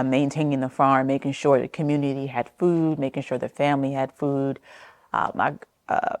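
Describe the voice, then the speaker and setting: Middle-aged New woman, very deep voice, interviewee reminiscing, dry close sound. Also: no room sound. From New Jersey. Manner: gentle and unhurried, with frequent "um" and soft trailing phrases. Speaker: oral history interviewee. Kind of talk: reflective personal storytelling.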